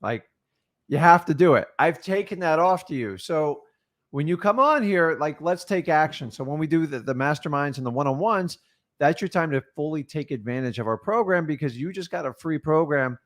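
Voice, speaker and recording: A man co-hosting a casual chat, speaking calmly and encouragingly. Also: on the high side, normal speed. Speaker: co-host.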